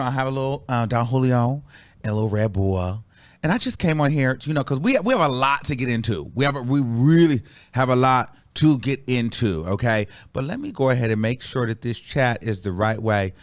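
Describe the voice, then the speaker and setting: A man's voice: medium pitch, a bit bright, dry recording. A man hosting a live-streamed celebrity gossip show, sassy and chatty, calling his viewers girl and child and rambling with lots of ums and restarts.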